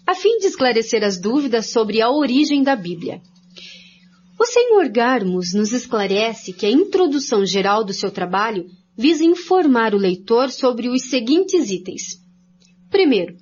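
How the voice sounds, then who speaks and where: A woman's voice: a little high, a little mellow, breathy edge, heavily acted. An actress playing an earnest woman expositor in a scripted radio drama, laying out points one by one in a didactic way. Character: woman researcher Jacira, earnest, didactic study-group expositor. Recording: dull, lo-fi recording.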